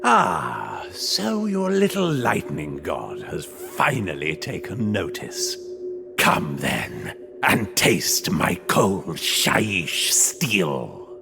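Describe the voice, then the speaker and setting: An actor playing an arrogant undead ghost knight in a fantasy game, with a gravelly voice and grandiose, menacing proclamations. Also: male voice, low voice, wildly swooping pitch, very slow, over music, exaggerated voice. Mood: scornful. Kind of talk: villain acting in a fantasy game cutscene.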